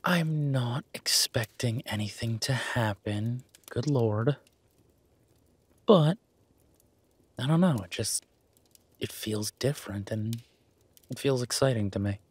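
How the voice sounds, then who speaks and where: A man playing an affectionate, easygoing werewolf boyfriend in an intimate roleplay audio, with a husky, breathy voice and a soft, teasing manner. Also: mid-range voice, takes it slowly, pauses often, no echo. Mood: tender, excited. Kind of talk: intimate boyfriend roleplay audio, casual one-sided chat.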